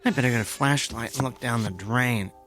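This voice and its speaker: An actor in a radio drama playing a middle-aged, henpecked amateur inventor, fussy and excitable, given to muttering and meek stammering. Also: male voice, mid-range voice, fairly quick, natural voice. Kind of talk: comic radio drama acting.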